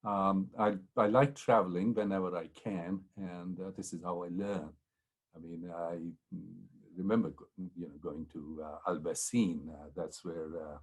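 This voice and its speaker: Husky-voiced man. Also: low voice, a little mellow. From Pakistan. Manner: rambling, many ums and restarts. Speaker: historian on a panel. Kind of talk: anecdotal storytelling in a panel talk.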